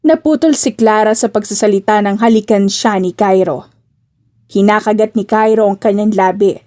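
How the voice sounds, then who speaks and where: Mellow female romance narrator. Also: medium pitch, normal speed. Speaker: audiobook narrator. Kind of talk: scripted romance story narration.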